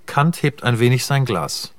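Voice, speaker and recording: A man's voice: mid-range voice, animated, a little slow, dry recording. A man narrating scripted scene directions for a radio drama in a matter-of-fact way, in short clipped phrases.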